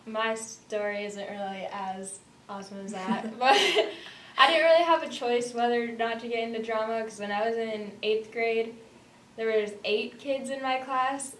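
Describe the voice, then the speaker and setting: A teenage girl gives casual interview answers as a student actor. Her voice sits a little high. The sound is echoey and distant from the microphone, with faint noise behind it.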